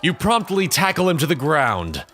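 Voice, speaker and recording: A man's voice: a little high, very animated, moderate pace, over music. A man narrating a scripted second-person story as the narrator of an audio drama.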